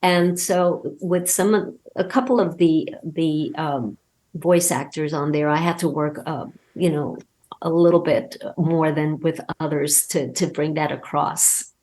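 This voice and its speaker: A woman talking casually as an interview guest and telling anecdotes, emphatic and halting, often repeating words as she goes. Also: sits low, steady tone, a little mellow. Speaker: podcast guest, audio drama creator and voice actor.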